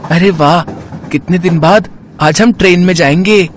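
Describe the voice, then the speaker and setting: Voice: mid-range voice, moderate pace, a little hiss or hum, lightly acted. Innocent little boy in a cartoon , acted. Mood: eager. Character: six-year-old boy, innocent, hungry son. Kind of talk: cartoon story voice acting.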